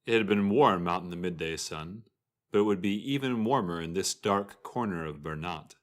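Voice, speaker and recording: A man's voice: sits low, normal speed. A man telling a fantasy story as a scripted narrator.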